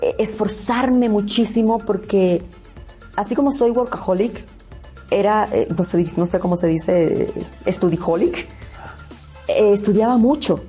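A woman from Cuba speaking as an actress in an interview, earnest and halting, often repeating words. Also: medium pitch, warm tone, soft background music.

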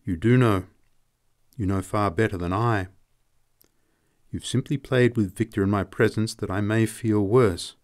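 A man's voice: mid-range voice, expressive, normal speed, a little mellow, pitched above the performer's own voice, lightly acted. Lovesick, bitter man, raised and heated, read by a male narrator. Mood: accusing. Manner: pleading and sneering by turns, emotional. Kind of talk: audiobook character reading.

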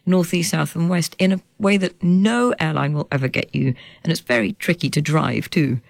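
Animated woman guest. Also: moderate pace, vocal fry, no room sound. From United Kingdom. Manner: animated, bright laughter, lively pitch swings. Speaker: journalist and author guest. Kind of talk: conversational interview.

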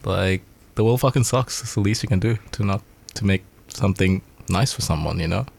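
A man from Sri Lanka with a very deep voice and a heavy gravelly rasp. He talks in a laid-back, loose way, swearing freely and throwing in "man" and "bro". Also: fairly quick, a little mellow, no echo. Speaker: band vocalist. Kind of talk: casual radio interview chat.

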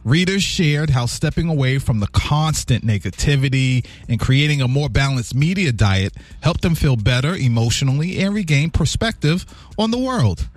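A man with a deep voice co-hosting a radio show, chatting and reading a good-news segment. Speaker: radio co-host.

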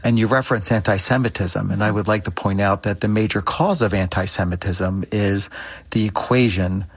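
A man's voice: on the low side, slightly rough, no room sound. A middle-aged or older man, a surgeon answering questions in an interview. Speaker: interview guest, orthopedic surgeon.

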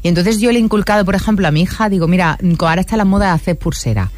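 A woman hosts a relaxed radio conversation. She is chatty and quick to laugh. The studio sound is close and dry, and her pitch is middling. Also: no room sound. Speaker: radio host. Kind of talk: casual radio chat.

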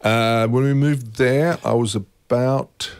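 A middle-aged man, a guest chatting in a relaxed interview with a wry, self-deprecating humour. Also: medium pitch, takes it slowly, smooth, dry recording, clean studio sound. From born in England, raised in Germany and Australia. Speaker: guest, opera music head.

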